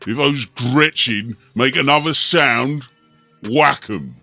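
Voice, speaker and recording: Man playing a gruff, forceful ork warboss. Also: mid-range voice, takes it slowly, soft background music, voice pushed up. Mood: annoyed, threatening. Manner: rough slangy commands, muttered.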